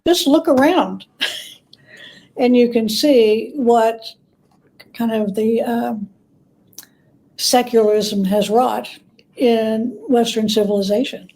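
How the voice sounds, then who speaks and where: A woman speaking as a guest author in a conversational interview, explaining things in a halting, thoughtful way. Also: fairly high pitch, a little mellow, rough recording.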